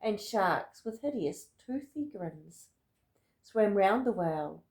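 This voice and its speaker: A woman reading a rhyming picture book aloud in an expressive, deliberate storytelling manner. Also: medium pitch, reverberant. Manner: dramatic, deliberate storytelling with emphatic words. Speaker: storybook reader.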